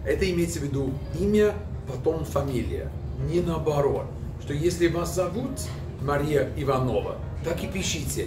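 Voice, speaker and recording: A man in his fifties hosting a casual advice vlog, speaking straight to the camera in a restaurant room with a lively, direct manner. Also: fairly high pitch, distant mic. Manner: lively, direct, talks with his hands while holding a glass. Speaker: video host. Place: indoors in a decorated restaurant room. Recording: roomy, a little off-mic, music underneath.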